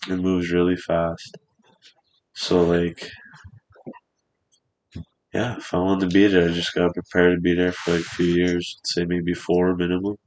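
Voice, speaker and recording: A man's voice: sits low, monotone, unhurried, pauses often, poor audio. A man in his twenties chatting casually on a podcast in a studio, rambling and swearing freely. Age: 20s.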